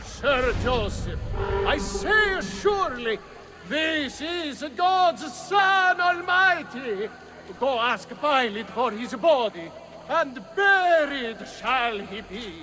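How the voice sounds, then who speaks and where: Game role: solemn stage declaimer, very high voice, slow and grand, music behind. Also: male voice, very animated, bright, forceful tone, full character voice. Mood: solemn, loud. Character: pious, solemn passion-play figure declaiming archaic verse.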